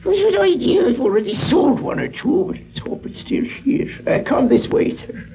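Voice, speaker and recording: An actor playing an affable, talkative Irish junk shop keeper in a 1950s radio drama. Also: male voice, extremely high pitch, big pitch swings, moderate pace, vocal fry, exaggerated voice. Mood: obliging. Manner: genial, roundabout, talkative. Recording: muffled old radio recording.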